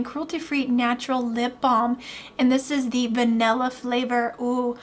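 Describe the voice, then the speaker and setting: Young woman w a high voice doing a makeup unboxing, kinda hoarse, losing her voice, super gushy. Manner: gushing, excited. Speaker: beauty vlogger. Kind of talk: product unboxing vlog.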